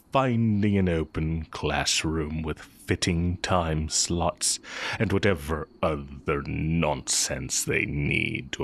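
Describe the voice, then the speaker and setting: Wicked sorcery professor male actor: extremely low, slow, gravelly drawl, smug and sardonic, for romance audio roleplay. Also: fairly bright tone, heavily acted. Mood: musing, amused. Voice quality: very deep, creaky. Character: evil male magic teacher, heretic, smug, amused, fond of former student.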